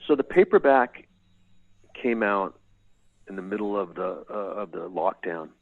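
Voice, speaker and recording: A man's voice: sits low, lively intonation, unhurried, no room sound. A middle-aged man from California, an author answering interview questions thoughtfully, often repeating words and restarting his sentences. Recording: dry tinny video-call audio.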